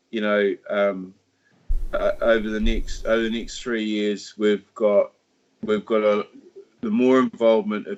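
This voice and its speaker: A man with a New Zealand accent, talking casually on a livestream about his party, with lots of restarts and fillers. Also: on the low side. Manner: halting, many restarts and fillers. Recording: dull lo-fi stream audio.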